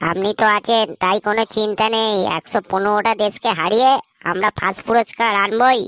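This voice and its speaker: A woman's voice: medium pitch, steady tone, a little fast, a bit bright, no echo, natural voice. A woman voicing an eager, cheeky young school pupil in a comedy cartoon, earnest and keen to answer. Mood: excited.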